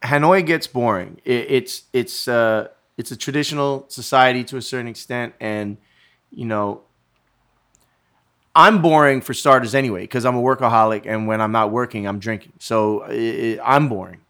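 A man in casual chat, telling travel stories in a lively, self-deprecating way with frequent swearing. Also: medium pitch, moderate pace. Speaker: traveler in conversation.

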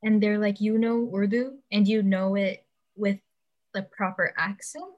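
A woman's voice: mid-range voice, fairly even intonation, a little slow. Candid young woman, muffled recording. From Pakistani family, raised in the United States. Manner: reflective, candid, thinking aloud with fillers. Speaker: conversation partner. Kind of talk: casual conversation. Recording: muffled, dull sound.

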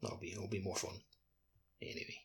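A man's voice: low-pitched, level tone, brisk, gravelly, fairly bright tone. A man co-hosting a podcast, chatting informally and a little tentatively about playing a video game. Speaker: podcast co-host. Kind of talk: casual video game chat.

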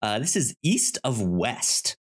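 A man with a mid-pitched voice co-hosts a casual podcast. He stammers often, repeating the first sound of a word before he gets it out. His delivery is conversational, moving between chat and reading aloud. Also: very animated, slow, breathy edge. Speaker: podcast co-host.

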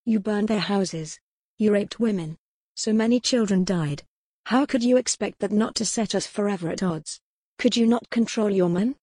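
A woman's voice: mid-range voice, normal speed, warm tone, no room sound, studio quality, close to an everyday voice. A woman voicing a proud, defiant young noblewoman who speaks in an impassioned, accusing way in an audiobook. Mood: anguished. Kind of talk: audiobook character voice.

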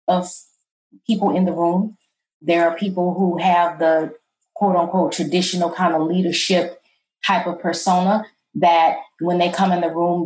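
A middle-aged woman with a soft, low voice, guesting on an interview and talking in a calm, measured way that turns emphatic at times. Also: echoey room, low-quality recording. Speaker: college president, guest. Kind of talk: interview conversation.

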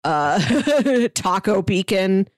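A woman's voice: mid-range voice, sing-song, extremely slow, no room sound, clean studio sound. A woman co-hosting a podcast in casual, unscripted conversation. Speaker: podcast co-host.

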